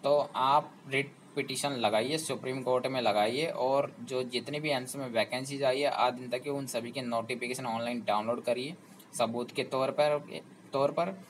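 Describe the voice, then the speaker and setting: Male channel host, persuasive explainer fond of rhetorical questions, mid-pitched voice, slight background hiss. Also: steady tone, normal speed, poor audio. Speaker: job information channel host. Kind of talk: explanatory talk to students.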